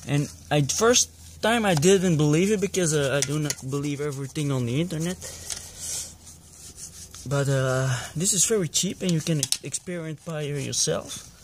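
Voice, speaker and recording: A fairly high-voiced Belgian man talks haltingly in non-native English as he demonstrates a cleaning job. He fills his sentences with pauses and restarts. The phone recording carries clear background clatter. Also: background noise. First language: not English. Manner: halting, with many fillers and restarts. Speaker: DIY video maker. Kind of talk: casual hands-on demonstration. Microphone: phone camera.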